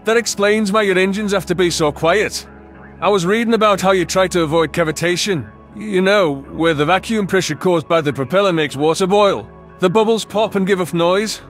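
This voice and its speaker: Man voicing a high-pitched, eager alien nerd. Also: normal speed, soft background music, natural voice. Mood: eager, geeky. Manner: eager, geeky, prattling. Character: excitable, bookish young male alien.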